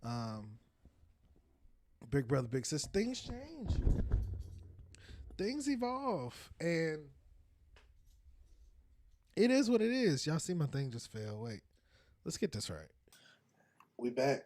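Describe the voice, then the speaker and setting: A man's voice: fairly high pitch, slow, pauses often. Chatty podcast host in his thirties. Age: 30s. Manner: chatty and animated, laughs often. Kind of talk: casual commentary while browsing and reading aloud.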